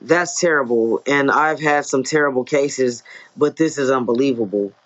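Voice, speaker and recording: A man's voice: medium pitch, moderate pace, a bit bright, lo-fi sound, natural voice. A man voicing a compassionate, jolly, motherly woman social worker in a read-aloud story, speaking in soothing endearments. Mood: moved, upset. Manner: soothing endearments, tender phrasing.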